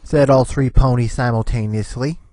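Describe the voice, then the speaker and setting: A man's voice: mid-range voice, fairly even intonation, moderate pace, a little mellow, no echo, clean studio sound. A man giving scripted storybook narration of a cartoon fan fiction.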